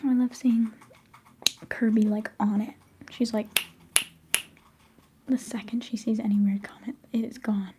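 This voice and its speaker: A young woman whispering softly and chattily during a live ASMR stream, giggling now and then. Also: a little high, fairly even intonation, unhurried, long pauses, noisy background. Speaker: livestream host.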